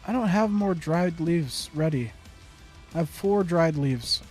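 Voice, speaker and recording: Brief: young gamer, slightly high voice, mumbly running commentary, faint music behind. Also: male voice, lively intonation, slow, darkish tone. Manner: muttering to himself, humming and repeating phrases. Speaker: gaming streamer. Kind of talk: casual gameplay commentary.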